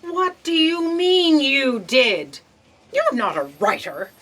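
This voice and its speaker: A woman acts out an imperious female boss in a comic audio drama. Her high, bright voice swings widely as she commands and needles her underlings with theatrical sarcasm. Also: sing-song, slow pace, heavily acted. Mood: incredulous, scolding. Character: imperious exasperated female boss of a bureaucracy of fate.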